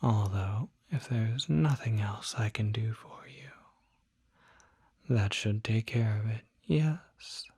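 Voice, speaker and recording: Someone acting a whispering, unhurried demon doctor. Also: medium pitch, a little mellow, exaggerated voice. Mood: gentle, courteous. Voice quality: breathy, whispery. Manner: formal, unhurried, precise diction. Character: polite, soft-spoken demon doctor treating curses. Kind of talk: whispered ASMR roleplay.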